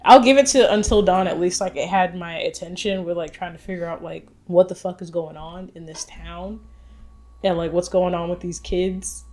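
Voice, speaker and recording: Young woman, podcast co-host, casual swearing chat, slightly low voice. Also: fairly even intonation, moderate pace, a little mellow. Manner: loose, swearing, with fillers. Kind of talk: casual chat reviewing movies.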